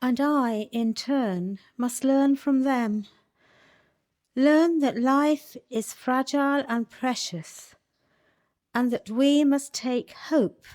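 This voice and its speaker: Radio play: a woman as a slow, high-voiced Victorian schoolmistress, solemn and regretful. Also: a little mellow. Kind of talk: community radio play monologue.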